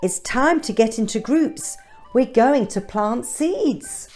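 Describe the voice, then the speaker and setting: A woman voicing a brisk, encouraging female schoolteacher in a storybook, announcing things to a class. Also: on the high side, animated, a little mellow, faint music behind.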